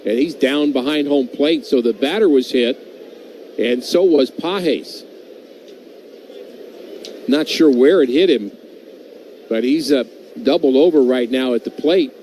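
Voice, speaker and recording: A man's voice: medium pitch, unhurried, long pauses, warm tone. A man giving color commentary on a baseball radio broadcast, talking loosely and thinking aloud. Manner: conversational, thinking aloud with fillers. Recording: noisy broadcast audio.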